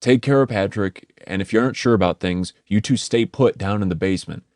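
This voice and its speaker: A man voicing a frantic man shouting an urgent warning to his family, swearing as he goes. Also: lowish voice, brisk, no echo, studio quality, lightly acted. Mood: urgent, pleading. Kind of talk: audiobook character voice.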